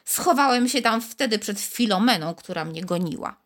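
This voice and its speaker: A woman voicing a small magic blue bead, a fussy male figure with a thin, high voice that grumbles impatiently. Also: expressive, normal speed, a bit bright, natural voice. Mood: grateful.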